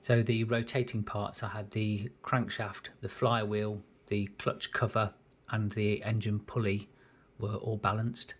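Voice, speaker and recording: A man calmly explaining his engine rebuild step by step while he works at a cluttered workshop bench. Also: lowish voice, slightly rough. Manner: methodical, plain explanatory, pauses mid-phrase. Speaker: home mechanic rebuilding an engine. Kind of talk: hands-on workshop walkthrough.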